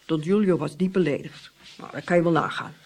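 A woman's voice: lowish voice, brisk, darkish tone, close to an everyday voice. A woman playing a hearty, gossipy Italian innkeeper who relishes telling scandal in a Dutch radio play. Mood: relishing, dramatic. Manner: theatrical, relishing storytelling with exclamations. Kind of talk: Dutch radio drama acting.

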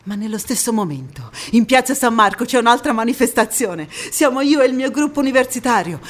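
An actress playing a passionate young Italian antifascist woman, recounting her life in a theatrical monologue with dramatic pauses and emphatic repetitions. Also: on the high side, animated. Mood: fervent, proud. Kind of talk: theatrical stage monologue, first-person storytelling.

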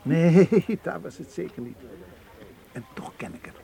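Mellow, thoughtful Egyptian man. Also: fairly high pitch, big pitch swings, creaky, exaggerated voice. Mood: puzzled. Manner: measured, understated, gently dry. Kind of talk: radio drama acting.

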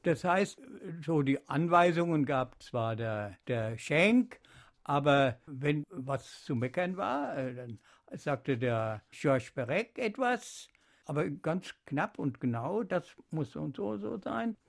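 A man's voice: a little high, expressive, slow, creaky. A man reminiscing spontaneously in an interview, emphatically drawing out key words. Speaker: interviewee recalling radio productions.

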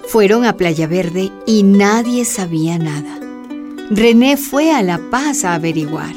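Female narrator, steady storytelling, music bed underneath. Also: mid-range voice, unhurried. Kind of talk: scripted radio drama narration.

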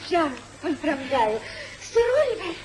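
Expressive society lady, very animated intonation, theatrical delivery, noisy hissy 1950s radio recording. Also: sits high, takes it slowly, crowd noise, heavily acted. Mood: excited.

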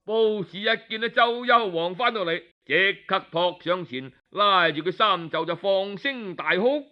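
A man with a Cantonese accent telling a historical tale in an expressive, colloquial traditional storytelling style. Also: sits high, a little slow. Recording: dull lo-fi audio.

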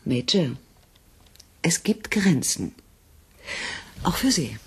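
An actress playing an assertive, indignant woman scientist in a radio play, low and husky, with a formal, sharp-tongued manner. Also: big pitch swings, takes it slowly, full character voice. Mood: firm, insistent. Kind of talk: 1980s German radio play acting.

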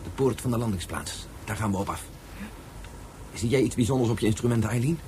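An actor playing a level-headed, authoritative spaceship commander in a science fiction radio play, speaking in a matter-of-fact, instructing way. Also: male voice, on the low side, fast talker, darkish tone, slight background noise, natural voice. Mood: calm, businesslike.

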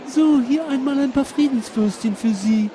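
A man's voice: extremely high pitch, moderate pace. An actor playing a bewildered, stammering male sausage-stand vendor in a satirical radio sketch. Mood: puzzled. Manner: flustered, stammering. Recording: noisy outdoor street ambience.

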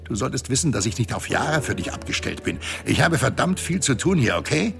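An actor playing a grumpy, sarcastic old reanimator in a science fiction radio drama, gruff and scornful with a gravelly voice. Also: male voice, mid-range voice, sing-song, fairly quick, fairly bright tone, soft background music. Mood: irritated, scornful.

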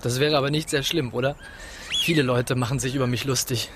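A man's voice: mid-range voice, fairly even intonation, a little fast, background noise, natural voice. A man acting in a radio drama as a courteous, reserved young writer who speaks a little hesitantly. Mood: mild, curious. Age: young adult. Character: polite, reserved young English writer, slightly embarrassed.

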